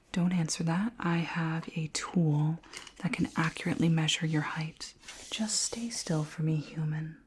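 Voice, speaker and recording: A woman voicing a curious, polite, imperious alien queen in a whispered ASMR roleplay, with slow, formal, deliberate questioning in a soft, breathy whisper. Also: fairly low pitch, slight background noise.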